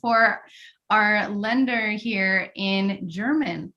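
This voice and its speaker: A woman's voice: medium pitch, extremely slow, sharp tone, dull audio. A woman co-hosting a casual live stream, asking playful, teasing questions. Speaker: co-host.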